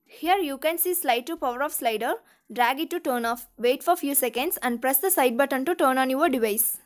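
A woman's voice: high voice, a little fast. A woman narrating a scripted step-by-step tutorial as the channel's host. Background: background music.

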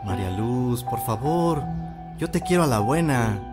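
A man's voice: mid-range voice, lively intonation, unhurried, loud music behind the voice, natural voice. A young man with a Mexican accent playing a lovestruck rural suitor in an audio drama. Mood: tender, coaxing.

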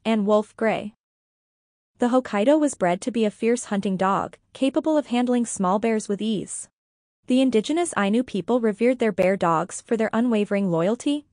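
A woman reading a scripted educational podcast narration in a measured, formal manner. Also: medium pitch, darkish tone, no echo, clean studio sound.

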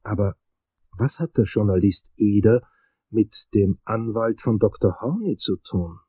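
A world-weary investigator tells his crime story in a low, dark, husky voice. The delivery is dry, brooding and wry, as in a radio thriller, recorded close and dry. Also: unhurried, dry recording. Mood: brooding, pondering. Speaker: narrator and lead actor. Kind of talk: first-person crime audio drama narration.

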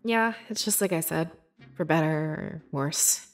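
A woman playing a young, devoted beast-folk scribe in a fantasy video game, with earnest, measured and expressive delivery. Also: sits low, faint music behind. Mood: explanatory, friendly. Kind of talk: scripted video game character dialogue.

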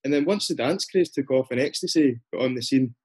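A man in his thirties hosting a podcast, setting up points and prompting his guest over a video call from a bright room at home. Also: mid-range voice, brisk, husky. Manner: leading questions, short backchannels. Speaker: podcast host. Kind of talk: casual interview. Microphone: laptop webcam on a video call.

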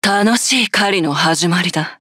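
A woman's voice: on the low side, brisk, sharp tone, slightly rough, no echo, clean studio sound. A woman voicing a cruel, arrogant female general in a video game, with haughty, scornful, theatrical taunts. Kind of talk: Japanese video game character voice lines.

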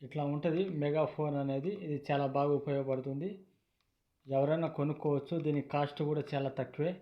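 A man with a slightly high, very even voice presents a product informally. He explains deliberately, phrase by phrase, often repeating himself. The recording is dull and lo-fi. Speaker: YouTube product reviewer. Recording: dull lo-fi sound.

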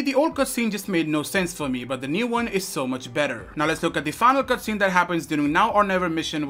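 A man's voice: fairly high pitch, pushed and bright. A man hosting a gaming video, giving scripted commentary over game footage. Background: music.

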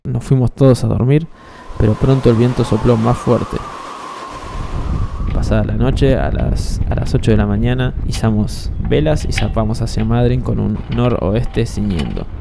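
A man's voice: mid-range voice, normal speed, creaky, noisy background. A man with an Argentine accent narrating a sailing travel story as a voice-over. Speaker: sailor and video narrator. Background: music.

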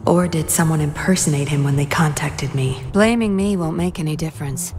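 A woman's voice: sits low, a little fast, a little mellow, close to an everyday voice. An actress playing a determined young female undercover agent in a video game. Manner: earnest, resolute. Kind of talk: game voice acting. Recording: game audio over music.

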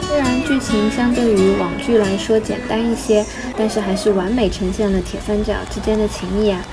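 A woman's voice: medium pitch, steady tone, brisk, low-quality recording. A young woman narrating her travel vlog in a light, upbeat scripted voice-over. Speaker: travel vlogger. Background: background music.

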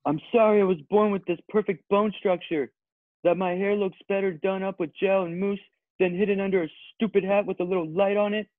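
A tinny, phone-like and very dry call carries a man playing a vain, slow-witted male model. The voice is on the high side, earnest, in a comedy table read. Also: fairly even intonation, dry recording, natural voice. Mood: earnest, wounded. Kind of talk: amateur table read over video call. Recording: thin phone-like video-call audio.

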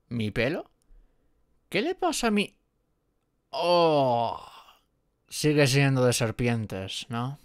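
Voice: medium pitch, no echo, clean studio sound, full character voice. A performer with an accent from Spain voicing a nervous, apologetic, friendly gorgon in a roleplay audio drama, hesitant and rambling as if talking to one listener. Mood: relieved, friendly. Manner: hesitant, rambling, muttering to self.

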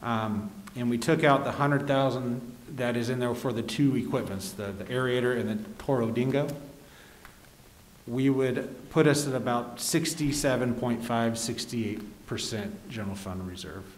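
A man speaking as a city official, giving measured, procedural budget explanations at a desk microphone through a face mask. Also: medium pitch, slight background noise. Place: indoor council chamber. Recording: echoey meeting room.

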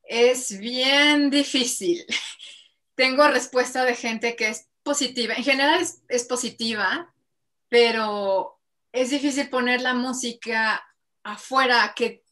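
Bright-voiced Mexican woman on a video call. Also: on the high side, takes it slowly. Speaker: singer-songwriter guest. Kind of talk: casual interview over video call. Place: home room with bookshelves and string lights. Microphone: video call webcam.

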